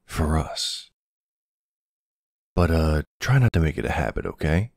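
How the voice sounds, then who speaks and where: A man playing a tender, protective older ex-soldier boyfriend with a husky, gravelly voice in an intimate ASMR roleplay. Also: extremely low pitch, very animated, no room sound, clean studio sound, heavily acted. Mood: concerned, tender. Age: middle-aged or older character. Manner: affectionate teasing, hesitant pauses, soft reassurance.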